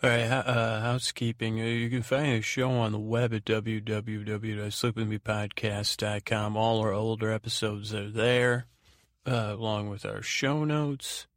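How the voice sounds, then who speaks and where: A man hosting a bedtime podcast in a gravelly voice, meandering and halting as he trails off mid-thought. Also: on the low side, flat delivery, dry recording, clean studio sound. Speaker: podcast host. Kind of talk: rambling bedtime podcast monologue.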